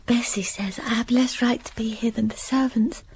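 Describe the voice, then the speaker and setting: An actress playing a timid, unhappy ten-year-old orphan girl in a radio drama, speaking softly and plaintively. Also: a little high, steady tone, moderate pace, a little hiss or hum, close to an everyday voice. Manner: soft, hushed and plaintive.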